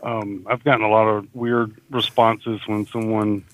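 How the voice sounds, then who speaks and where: A man's voice: on the low side, little pitch movement, unhurried, dry recording, poor audio. A man with a Southern US accent co-hosting a podcast, chatting casually in a folksy, joking way with chuckles. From Mississippi, United States. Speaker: podcast co-host. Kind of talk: casual chat.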